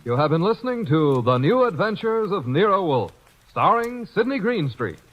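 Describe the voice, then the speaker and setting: A man giving a formal, measured closing announcement as a radio announcer. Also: high-pitched, sing-song. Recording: dull old radio broadcast with music behind. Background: music.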